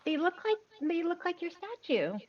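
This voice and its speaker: A woman's voice: very high voice, sing-song, normal speed, lo-fi sound. A woman playing a tabletop role-playing game, bantering casually in character.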